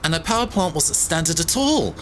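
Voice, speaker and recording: A man's voice: fairly high pitch, lively intonation, a little fast, slight background noise, close to an everyday voice. A young man playing an anxious, inexperienced tech genius in an audio drama, speaking hesitantly and uneasily. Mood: puzzled, analytical.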